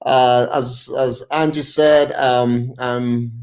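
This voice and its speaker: A man from Ecuador, a guest giving a halting presentation over a video call. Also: medium pitch, level tone, darkish tone. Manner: halting, searching for words. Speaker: guest, tourism representative.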